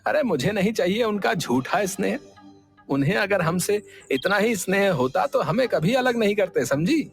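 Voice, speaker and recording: A man voicing a touchy, resentful middle-aged brother in a cartoon, sulky and quick to take offence. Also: sits high, animated, vocal fry. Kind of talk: cartoon voice acting. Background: background music.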